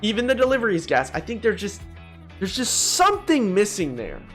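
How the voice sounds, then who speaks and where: A young man with a slightly high voice, set over music. He gives casual gaming commentary as a video host, opinionated and full of slang and swearing. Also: wildly swooping pitch, brisk. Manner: slangy, profane, opinionated, exclaiming. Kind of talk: casual tier-list commentary.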